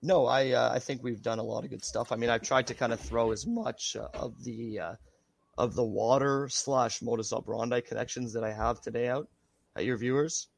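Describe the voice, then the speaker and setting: A man speaking as a researcher guest in a casual interview, his voice raspy from being sick, hesitant with many fillers. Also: mid-range voice, steady tone, normal speed, no echo.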